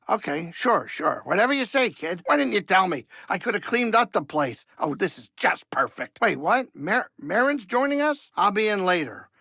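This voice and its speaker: An aging alien starship pilot for a video game. His voice sits fairly high, with a bright, pushy edge and plenty of gravel. He is folksy and fussy, a wisecracking grumbler with a soft heart, recorded close and dry. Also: dry recording, full character voice. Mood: breezy, eager. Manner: folksy, wisecracking, fussy, colloquial streetwise talk. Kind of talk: video game character dialogue.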